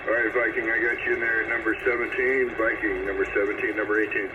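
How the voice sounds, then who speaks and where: Through a very noisy, narrow radio signal comes a man's voice, calling a check-in net at a brisk clip. He is courteous and procedural, acknowledging stations as the host. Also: on the low side, flat delivery. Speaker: radio roll-call host. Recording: noisy, tinny CB radio transmission. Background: loud radio static.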